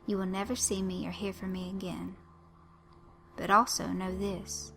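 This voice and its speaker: Sly little southern dandy guy, woman doing the voice, flowery and drawn-out, a bit higher and louder than her own read, gravelly edge. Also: little pitch movement, natural voice. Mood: genteel, menacing. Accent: American Southern. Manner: ornate, drawn-out emphasis.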